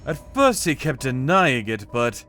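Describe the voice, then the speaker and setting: A man giving dramatic first-person narration as a calm, righteous, menacing vigilante in an animated manga story. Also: mid-range voice, unhurried, soft background music. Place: studio voice-over with music behind.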